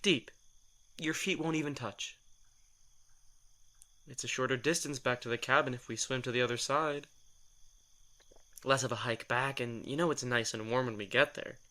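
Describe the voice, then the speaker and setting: A man's voice: mid-range voice, moderate pace, long pauses, pushed and bright, lightly acted. An actor playing a teasing young man, wry and playful in an audio drama. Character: teasing young man, a vampire's companion Milo.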